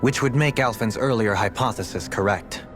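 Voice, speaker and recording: A man's voice: fairly low pitch, moderate pace, over music, lightly acted. Man as an analytical, articulate game adventurer. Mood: inquisitive. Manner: reasoned, articulate, inquisitive. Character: thoughtful, analytical male game party member. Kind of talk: scripted video game cutscene acting.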